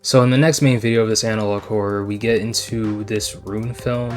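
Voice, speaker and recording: A man's voice: lowish voice, fairly even intonation, fairly quick. A man hosting a video essay, moving between scripted commentary and casual asides. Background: background music.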